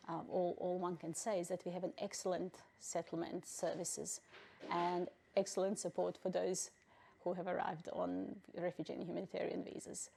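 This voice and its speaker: Woman from Bosnia, middle-aged, low mellow voice, measured, pausing. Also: creaky, a little hiss or hum. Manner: measured, thoughtful, pauses with fillers. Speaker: refugee council president, interviewee. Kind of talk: interview answers.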